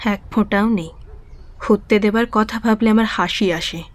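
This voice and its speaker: A woman's voice: mid-range voice, normal speed, dry recording, lightly acted. A woman playing a cool, defiant wife in an audio drama. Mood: cool defiance.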